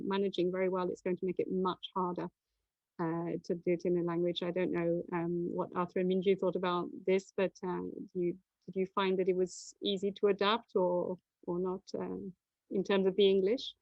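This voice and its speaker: A woman's voice: mid-range voice, dry recording, dull audio. A woman hosting an admissions webinar over a video call, presenting slides in a conversational way with many fillers and restarts.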